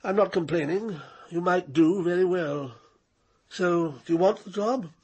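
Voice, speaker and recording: An actor playing a blunt, dry scientist and employer in a radio drama. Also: male voice, sits high, measured pace, darkish tone, breathy edge. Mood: dry, appraising. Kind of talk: British radio drama acting.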